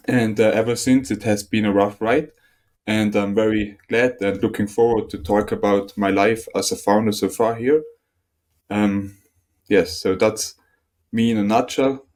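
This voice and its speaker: Young founder with a low, dark voice. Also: male voice, steady tone, a little slow. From northern Italy. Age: 20s. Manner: earnest, methodical, frequent ums. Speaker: startup founder guest. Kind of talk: podcast interview answers.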